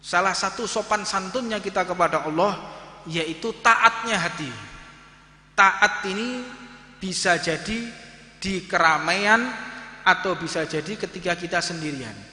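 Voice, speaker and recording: A man's voice: sits high, measured pace, long pauses, sharp tone. A man in his thirties with a Javanese accent, giving a fervent sermon in a mosque and drawing out Arabic phrases. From Indonesia. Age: 30s. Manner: rises to impassioned, fervent preaching, draws out Arabic phrases. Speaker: preacher. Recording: echoey hall reverb.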